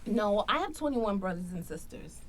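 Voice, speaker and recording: A woman's voice: mid-range voice, animated, normal speed, a loud crowd, low-quality recording. Playful woman in her forties. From Denmark. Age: 40s. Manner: playful, self-mocking. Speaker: guest. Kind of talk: casual chat.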